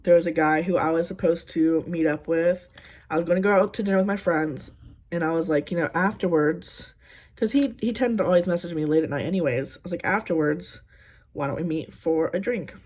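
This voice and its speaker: Woman, 30s, lowish voice, casual makeup-tutorial vlogger, dry sarcastic rambler. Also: darkish tone. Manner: sarcastic, exasperated storytelling; rambling with frequent ums. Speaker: beauty vlogger. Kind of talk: casual get-ready-with-me chat.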